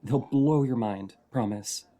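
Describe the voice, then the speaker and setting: A man's voice: mid-range voice, slow pace, lightly acted. Man acting a shy, rambly coffee-shop regular. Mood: shy, friendly. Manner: hesitant, rambling, softly flirty. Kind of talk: intimate audio roleplay, conversational.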